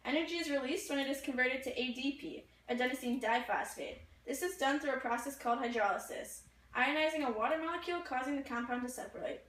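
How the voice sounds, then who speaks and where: Young woman, high-pitched, scripted advert narration, echoey, away from the mic. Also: quick pace, a bit bright. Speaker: puppet show performer reading mock adverts. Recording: echoey room, distant mic.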